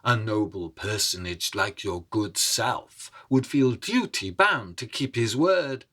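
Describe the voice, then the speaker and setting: A man playing a cornered old thief in a folk tale, wily, flattering and wheedling. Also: lowish voice, exaggerated voice. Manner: flattering, fast-talking when in danger.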